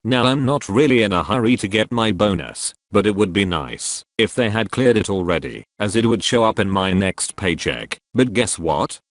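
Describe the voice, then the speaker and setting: A man narrating a reddit story in an even, measured reading. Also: lowish voice, dry recording, clean studio sound.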